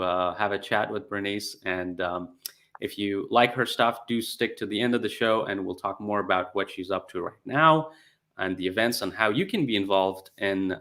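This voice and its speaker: A man hosting a conversational interview, speaking in a halting, hesitant way. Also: fairly low pitch, fairly bright tone. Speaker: interview host.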